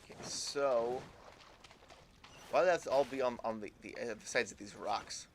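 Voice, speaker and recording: A man's voice: mid-range voice, normal speed, a little hiss or hum. A man giving casual live commentary as a game streamer, often stammering and repeating words as he thinks aloud.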